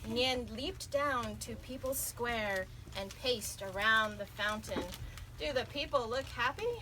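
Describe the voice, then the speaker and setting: A woman's voice: on the high side, slow. A woman reading a picture book aloud to children expressively, stopping to ask them questions. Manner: expressive, asks listeners questions. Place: bookstore live event. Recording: noisy room with audience.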